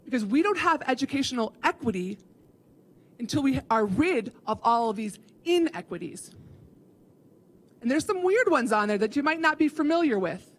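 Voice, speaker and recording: Female keynote speaker, emphatic and instructive, slightly higher voice. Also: rough recording. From Chicago, USA. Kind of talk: keynote talk. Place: conference hall.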